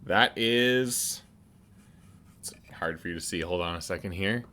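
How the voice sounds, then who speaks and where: A man casually commenting on a video game as he plays, thinking aloud and halting with many restarts. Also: low voice, sharp tone. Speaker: let's play streamer.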